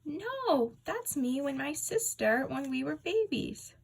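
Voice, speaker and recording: A woman's voice: high-pitched, big pitch swings, slow, gravelly, voice pushed up, heavily acted. A woman reading a children's book, voicing a friendly, chatty female school secretary. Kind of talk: children's book read-aloud.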